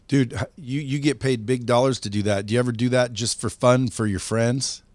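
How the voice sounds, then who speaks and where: A man's voice: medium pitch, fairly even intonation, fairly quick, a little mellow, dry recording. A man hosting a casual conversation, eager and playful, calling his guest "dude". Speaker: host.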